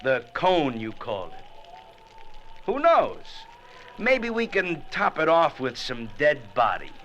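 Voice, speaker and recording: A man's voice: on the high side, wildly swooping pitch, slow pace, a bit bright, full character voice. A man playing a gloating, menacing gangster killer in an old radio drama, taunting his captives with sardonic, florid slang. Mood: smug. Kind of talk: 1940s radio drama acting. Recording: old radio recording with faint noise.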